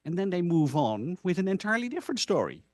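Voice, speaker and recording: A man's voice: sits high, big pitch swings, fast talker, a little mellow, no echo. Male academic speaker, non-native English, dry sound. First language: not English (English is a third language). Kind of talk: informal academic presentation, personal anecdotes.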